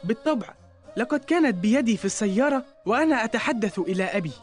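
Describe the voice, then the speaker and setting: A woman plays an earnest young boy from a children's cartoon. The delivery is polite and very expressive, with a lively melody in formal Arabic and soft music behind. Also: fairly high pitch, fast talker, lightly acted. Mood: worried, downcast. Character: earnest, polite young schoolboy, easily downcast.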